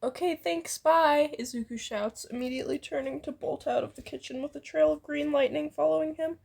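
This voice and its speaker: A woman's voice: sits high, level tone, fairly quick, vocal fry, warm tone. A woman casually reading a fan fiction story aloud as narrator.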